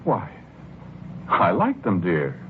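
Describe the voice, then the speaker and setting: An actor playing a gentle husband obsessed with his flowers, speaking haltingly and earnestly in 1940s radio drama style on a muffled old recording. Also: deep voice, huge pitch swings, a little hiss or hum, full character voice. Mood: mild, defensive. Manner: halting, earnest, repeats phrases.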